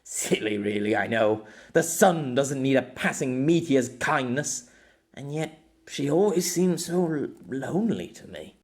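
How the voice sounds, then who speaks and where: Man acting a wistful, self-deprecating man, lively pitch. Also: measured pace, natural voice.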